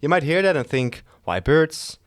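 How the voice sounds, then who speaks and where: A young man hosting a university podcast, asking questions haltingly and repeating words as he goes. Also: on the high side, very animated, dry recording, studio quality. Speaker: podcast host. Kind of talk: interview hosting.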